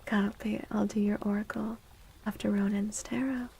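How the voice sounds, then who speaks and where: A woman livestreamer speaking in a soft, breathy, often whispery ASMR style, gentle and soothing, close to the microphone. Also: mid-range voice, little pitch movement, moderate pace, slight background noise. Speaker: livestreamer reading tarot for viewers.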